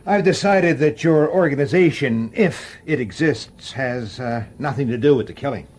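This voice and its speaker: An actor playing a gruff, irritable, middle-aged police detective in a radio drama, blunt in his delivery. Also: mid-range voice, lively intonation, moderate pace, a little mellow, natural voice. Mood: terse. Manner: blunt, hesitant, then explosive. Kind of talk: radio drama acting with first-person narration.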